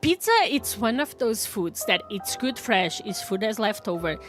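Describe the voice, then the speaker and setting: A woman's voice: mid-range voice, animated, moderate pace, music in the background. A woman from Portugal with a Portuguese accent, chatting casually with viewers on a live stream, laughing often and restarting her words.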